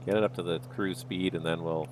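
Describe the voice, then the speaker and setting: A man's voice: deep voice, fairly even intonation, fairly quick, background noise. A man streaming a flight sim, casually talking himself through each step of the flight as he goes. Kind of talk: livestream commentary, thinking aloud.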